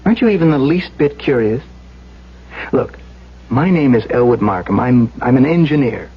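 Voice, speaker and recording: An actor playing an earnest, anxious county engineer in a seventies radio drama, stammering and pleading hesitantly. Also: male voice, medium pitch, lively intonation, moderate pace, breathy edge. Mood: nervous, earnest. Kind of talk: 1970s radio drama acting. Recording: dull lo-fi old radio broadcast.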